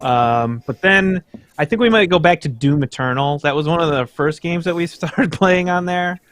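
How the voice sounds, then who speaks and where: A young man in casual chat on a livestream, rambling and halting with fillers. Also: on the high side, a bit bright, no echo, clean studio sound. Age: young adult. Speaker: livestream co-host.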